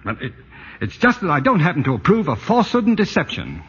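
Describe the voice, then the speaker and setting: A man's voice: fairly high pitch, wildly swooping pitch, fast talker, fairly bright tone, slightly rough, full character voice. An American man playing a jealous, sheepish suburban husband in 1940s radio comedy-drama, with a stammering, flustered delivery. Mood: touchy, jealous. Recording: dull vintage 1940s radio recording.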